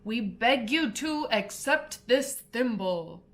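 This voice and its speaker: Storybook dodo, male bird, played by a woman, pompous, solemn, slow, declaring. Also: a little high, lively intonation. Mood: commanding.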